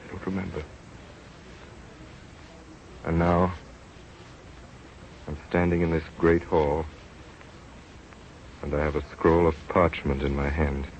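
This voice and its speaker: An actor playing an earnest, devoted doctor in an old-time radio drama. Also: male voice, a bass voice, little pitch movement, slow, long pauses, slight background noise, full character voice. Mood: grieving, subdued. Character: earnest, devoted male doctor running a field experiment. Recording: muffled vintage radio recording with music.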